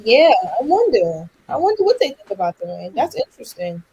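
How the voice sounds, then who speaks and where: A woman's voice: on the high side, huge pitch swings, brisk, creaky, mellow, a little hiss or hum, rough recording. A woman guest chatting casually, wondering aloud in a thoughtful way. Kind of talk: casual chat.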